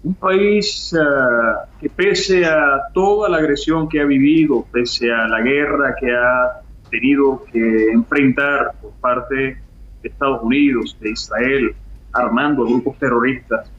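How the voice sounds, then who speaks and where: A man's voice: mid-range voice, slow pace, a bit bright, reverberant, dull audio, slight background noise. A Venezuelan man with a Venezuelan accent, an international analyst speaking emphatically as a guest on a remote radio interview. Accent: Venezuelan Spanish.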